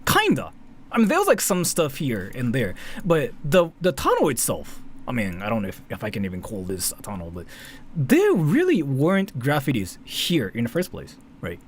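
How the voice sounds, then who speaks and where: A man's voice: medium pitch, wildly swooping pitch, normal speed. A man from Japan with a Japanese accent doing casual, rambling live commentary, often repeating and interrupting himself. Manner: rambling, repetitive, self-interrupting, swears casually. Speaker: streamer, commentary host. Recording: faint background noise.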